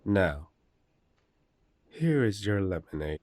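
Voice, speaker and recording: A man playing a courteous, protective eldest werewolf brother in an audio roleplay, gently authoritative and polite. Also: low-pitched, very animated, slow, dry recording, exaggerated voice. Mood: gentle, reassuring. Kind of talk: roleplay audio drama acting.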